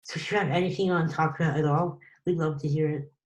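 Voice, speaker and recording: A young woman answering questions after her student presentation on a video call, relaxed and hesitant, with many pauses. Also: low-pitched, lo-fi sound. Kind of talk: casual online Q&A.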